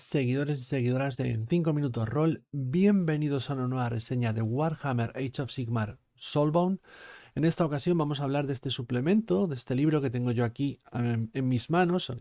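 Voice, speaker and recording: Mellow-voiced man from Spain reviewing a book. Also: on the high side. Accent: Spanish from Spain. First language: Spanish. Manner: measured and formal, with filler "eh" pauses. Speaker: tabletop game reviewer, channel host. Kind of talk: product review talk to camera.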